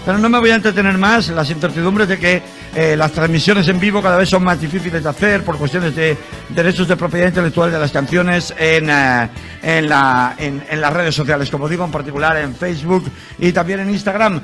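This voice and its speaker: A man's voice: fairly high pitch, fairly quick, a bit bright. A man hosting a radio culture magazine, talking in a rambling way full of hesitations. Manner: rambling, many hesitations and fillers. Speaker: radio host. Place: radio studio. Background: music.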